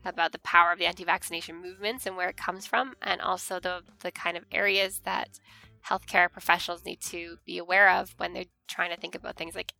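A woman's voice: on the low side, steady tone, a little fast, vocal fry, bright, forceful tone, dry recording, faint music behind. A young Canadian woman with a Canadian accent, chatting casually and earnestly about her academic research. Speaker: history researcher, co-host.

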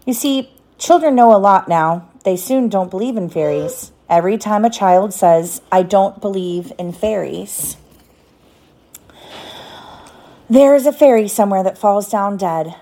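A woman's voice: mid-range voice, moderate pace, hardly any pauses, mellow, lightly acted. Storybook boy adventurer played by a woman: cocky, eager, blunt, boastful. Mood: sweetly earnest. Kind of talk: children's storybook read aloud.

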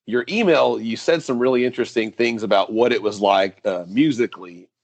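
Host from the United States, low and gravelly voice, loose rambling questions, dry sound. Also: male voice, fairly quick, no echo. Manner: relaxed, rambling with fillers. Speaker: podcast host. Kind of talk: casual interview chat.

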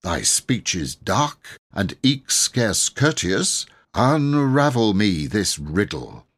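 A man's voice: lowish voice, big pitch swings, slow, fairly bright tone, heavily acted. A man voicing a kindly, gallant medieval knight who declaims in pompous, courtly, archaic fashion for a storybook. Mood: haughty.

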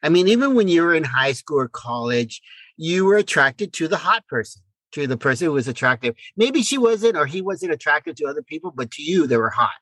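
Male podcast co-host, middle-aged, lively swings in pitch, fairly high voice, stumbles and restarts in casual chat. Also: no room sound, low-quality recording. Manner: halting, repeats words.

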